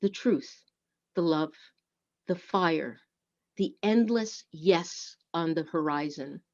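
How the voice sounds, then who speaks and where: A woman leading a church service, speaking and reading in a measured, deliberate way. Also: deep voice. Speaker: worship service leader. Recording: dull, lo-fi online audio.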